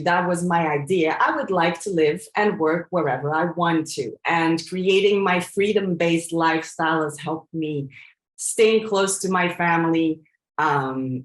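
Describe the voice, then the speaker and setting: Earnest woman coach in an echoey room. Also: lowish voice. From Belgium. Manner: earnest, reflective, with frequent fillers and restarts. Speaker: coach and trainer. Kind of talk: presentation to an audience.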